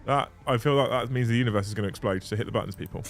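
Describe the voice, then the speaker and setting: A man in his thirties hosts a laid-back livestream, chatting with viewers in a joking, crude way. His voice sits at an ordinary middle pitch, and faint music plays under the talk. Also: racing. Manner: banter, swears freely, laughs often. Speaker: livestream host. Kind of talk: casual livestream chat.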